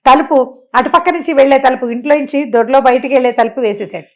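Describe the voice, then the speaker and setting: A woman tells a moral story aloud. She is conversational and animated, slipping rhetorical questions and bits of acted dialogue into the telling. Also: high-pitched, fast. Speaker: storyteller and motivational speaker.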